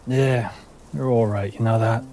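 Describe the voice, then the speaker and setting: A man's voice: medium pitch, fairly even intonation, unhurried, mellow, slight background noise, close to an everyday voice. A man voicing a wry young deer-man with a blunt, casual way of talking. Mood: wry, guarded.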